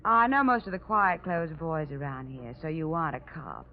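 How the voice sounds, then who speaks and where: A woman's voice: sits low, very animated, moderate pace, a little hiss or hum. A woman playing a cool, flirtatious nightclub woman with an American accent and a sultry, knowing manner in a vintage radio drama. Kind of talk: 1940s-50s radio detective drama acting. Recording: dull vintage radio recording with music.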